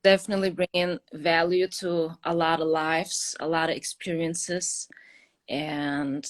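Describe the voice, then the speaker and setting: A woman's voice: low voice, little pitch movement, a little slow. A woman from Turkey calling in to a live stream, chatting eagerly and laughing easily. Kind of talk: casual chat.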